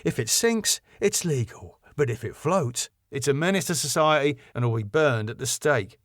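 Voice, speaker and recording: A man's voice: medium pitch, expressive, normal speed, fairly bright tone, no echo, clean studio sound, close to an everyday voice. Man playing a sardonic, doom-laden club manager. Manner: ominous, dryly exasperated.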